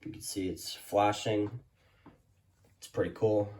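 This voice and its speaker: Casual male collector-presenter, lowish pitch, hesitant and rambling, informal product showcase delivery. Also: fairly even intonation, slow pace, a little mellow, a little hiss or hum.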